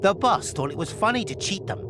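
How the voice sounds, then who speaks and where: An actor playing a blunt, hot-tempered London gangster in a video game, with heavy noise behind him. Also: male voice, sits high, very animated, a little fast. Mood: tense.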